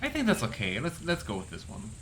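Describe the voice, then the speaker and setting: A man giving casual gameplay commentary, chatty and curious, thinking out loud. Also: mid-range voice, wildly swooping pitch, fairly quick, a little hiss or hum. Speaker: let's play streamer.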